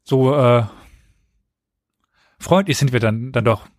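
Male co-host for a casual quiz podcast, medium-pitched voice, dry studio-like sound. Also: takes it slowly, dry recording.

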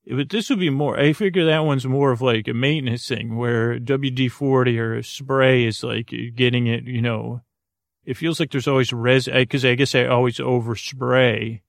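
A man with a creaky voice hosting a bedtime podcast, musing aloud in a hesitant, meandering way and circling back on words. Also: mid-range voice, fairly even intonation, moderate pace, no room sound, clean studio sound. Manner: musing, asks themselves questions. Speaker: podcast host.

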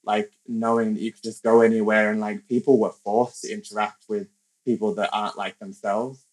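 A young podcast guest from Australia speaks in a low, level voice. He talks thoughtfully and casually, pausing often and filling gaps with "like" and "I guess". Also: level tone, low-quality recording. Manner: reflective, soft-spoken, many fillers. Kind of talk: casual interview talk.

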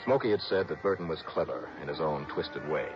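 A man's voice: rumbling low, lively intonation, fairly quick. An actor playing a tough, wry male investigator, narrating and talking in a clipped, sardonic way in a 1960s radio detective drama. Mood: wry, brooding. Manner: clipped, hard-boiled, sardonic. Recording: dull vintage radio recording with music.

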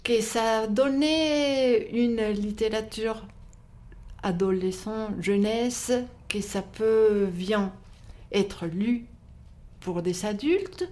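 Non-native french-speaking woman, bookseller, talks real slow, lots of euh and restarts. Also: fairly high pitch, dark tone. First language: not French. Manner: hesitant, many fillers and restarts. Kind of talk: interview conversation.

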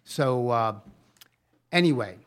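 A man's voice: mid-range voice, lively intonation, takes it slowly, slight background noise. A man co-hosting a podcast in casual, bantering conversation, with an enthusiastic, insistent way of talking. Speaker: podcast co-host.

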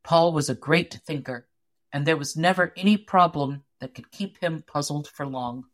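A woman reading a story aloud as an audiobook narrator, in an expressive, measured storytelling manner. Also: low-pitched.

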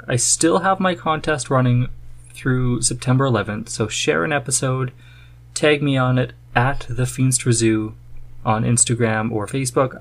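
Man hosting a casual podcast. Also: medium pitch. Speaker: podcast host. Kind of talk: casual chat.

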